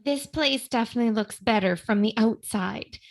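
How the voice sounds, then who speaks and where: A woman's voice: on the high side, normal speed, faint music behind, close to an everyday voice. A woman playing a haughty, jittery female burglar with grand, affected diction in a comic radio play. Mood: nervous, fussy. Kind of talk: comic old-time radio play acting.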